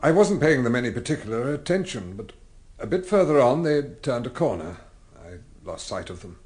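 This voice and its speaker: A man playing a reluctant gentleman giving testimony in a radio play. His mid-pitched voice is careful, measured and halting. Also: very animated, lightly acted. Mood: hesitant, careful. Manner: hesitant, stammering, measured.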